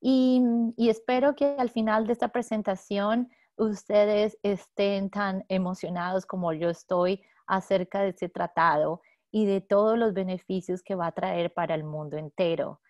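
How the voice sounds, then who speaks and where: A woman mediator presenting a webinar lecture, speaking haltingly with many fillers and restarts over a video call. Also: medium pitch, steady tone, a little mellow, dry recording, clean studio sound. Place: home office in San Diego.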